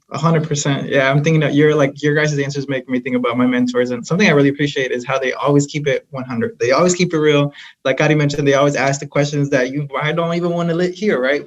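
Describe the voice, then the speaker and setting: A man moderates a panel casually, thanking speakers and passing the question along. His voice sounds a bit dull and lo-fi. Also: mid-range voice. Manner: casual, affirming.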